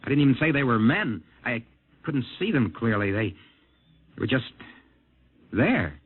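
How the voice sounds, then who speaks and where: An actor playing a shaken, earnest young male test pilot in a 1950s radio drama, with a husky voice. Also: mid-range voice, sing-song, measured pace. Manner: earnest, urgent. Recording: tinny old radio broadcast.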